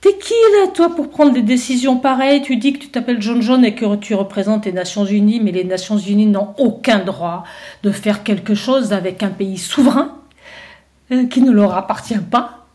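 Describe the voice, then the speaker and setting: A woman's voice: medium pitch, normal speed, dark tone, natural voice. A woman voicing a stubborn shepherd who defends his homeland, indignant and incredulous, with lots of hesitations.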